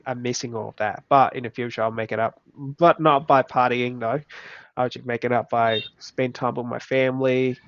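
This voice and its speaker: Young Vietnamese-accented man, halting. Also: mid-range voice, smooth, no echo. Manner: halting, with many restarts and simple phrasing. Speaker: interviewee. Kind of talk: casual reflective chat. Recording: slightly dull, dry recording.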